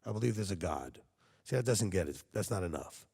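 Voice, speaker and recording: A man's voice: low voice, lively intonation, fairly quick, gravelly, darkish tone, breathy edge, big-room sound. A man preaching a sermon to his congregation, talking conversationally and checking in with frequent rhetorical questions. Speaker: congregation leader.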